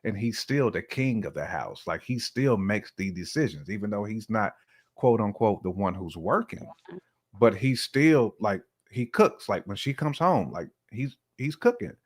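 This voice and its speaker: Low-voiced man chatting casually. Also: expressive, unhurried, slightly rough. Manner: informal, colloquial speech with slang. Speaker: podcast guest. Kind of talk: casual conversational opinion-sharing.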